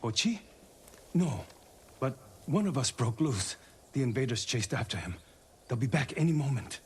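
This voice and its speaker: Animated, anxious rescued monk, played by a man. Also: mid-range voice, moderate pace, a little hiss or hum, lightly acted. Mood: anxious, urgent. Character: frightened, respectful freed monk captive. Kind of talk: cinematic game dialogue.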